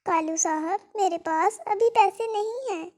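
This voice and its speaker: Cartoon mother bird, voiced by a narrator: female, extremely high, kindly, anxious, courteous. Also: unhurried, a little mellow. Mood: anxious, pleading. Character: Rani, gentle, worried mother bird. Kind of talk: children's cartoon story dialogue.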